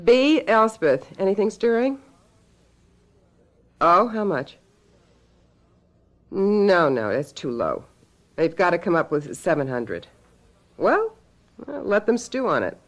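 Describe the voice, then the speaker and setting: A woman's voice: lowish voice, sing-song, slow pace, pauses often. An actress in a radio mystery drama playing a shrewd, sardonic businesswoman and half-sister, with a dry, cutting, commanding way of talking. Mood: brisk, businesslike.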